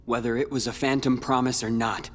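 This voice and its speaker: A man's voice: mid-range voice, level tone, a little fast, natural voice. An actor playing a guarded teenage boy warrior in a video game, somber, earnest and intense. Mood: resolute, pained. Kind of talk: dramatic video game voice acting. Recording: long reverb, music behind.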